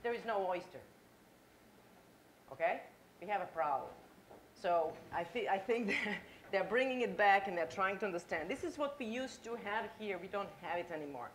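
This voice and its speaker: A woman's voice: mid-range voice, animated, normal speed, slight background noise. A middle-aged woman from Croatia with a Croatian accent, giving a conference talk in a lecture hall and asking the audience questions. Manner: engaging, asks the audience questions.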